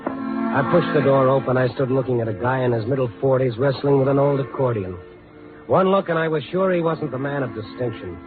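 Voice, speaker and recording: An American actor playing a tough, steady night-beat newspaper reporter in a 1950s radio drama, speaking in a clipped, dry manner. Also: male voice, medium pitch, brisk, music in the background, close to an everyday voice. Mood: tense narration. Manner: clipped, dry, hard-boiled. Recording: boxy, narrow 1950s radio recording.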